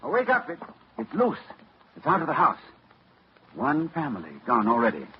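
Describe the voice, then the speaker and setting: A grave, urgent scholar-narrator. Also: male voice, a little high, huge pitch swings, moderate pace. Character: grave, driven scholar narrating his ordeal. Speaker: doctor and narrator of the story. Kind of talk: 1940s radio acting. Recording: muffled old radio broadcast, hiss.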